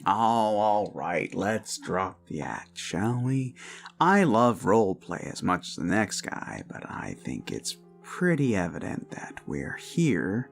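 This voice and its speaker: A man playing an obsessive, possessive boyfriend in an ASMR roleplay, creepily sweet and theatrical, coaxing with pauses and drifts into whispers. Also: on the low side, creaky, heavily acted. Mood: possessive, hurt.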